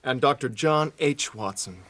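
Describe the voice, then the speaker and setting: An actor with a North American accent playing a breezy, friendly young heir, informal and plain-spoken, in a radio drama. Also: male voice, mid-range voice, animated, measured pace, lightly acted. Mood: hearty, friendly. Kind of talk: British radio drama acting.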